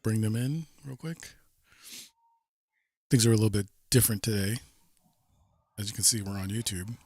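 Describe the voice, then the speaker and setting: A man hosting a casual podcast, his voice sitting somewhat low and a little sniffly. He talks loosely and jokes his way through the chat. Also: normal speed, darkish tone, husky, dry recording, studio quality. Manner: loose, joking banter. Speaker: podcast host.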